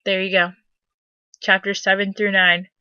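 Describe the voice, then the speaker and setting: A woman's voice: mid-range voice, little pitch movement, measured pace, pushed and bright, smooth, no echo, highs cut off. A woman giving sarcastic, incredulous commentary as she hosts a dramatic reading of fan fiction. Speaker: host doing a dramatic reading. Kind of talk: mocking commentary on read-aloud fan fiction.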